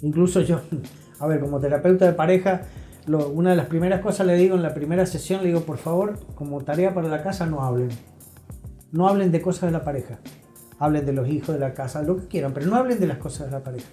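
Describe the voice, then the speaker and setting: An older man with an Argentine accent talks like a therapist being interviewed. His voice is soft-edged and mellow, his delivery didactic and emphatic. The room echoes, with faint music underneath. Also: fairly high pitch, quick pace. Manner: emphatic, didactic, repeats phrases. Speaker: guest therapist. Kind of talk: reflective interview talk. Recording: roomy echo, faint music.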